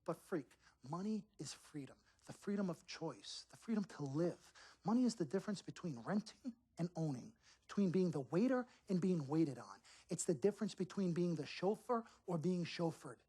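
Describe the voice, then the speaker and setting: A man's voice: high-pitched, expressive, moderate pace, mellow, breathy edge, rough recording. A slick male sports agent from a video game cutscene. He sells hard and emphatically, circling back on his phrases to persuade, pushy and sure of himself. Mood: persuasive.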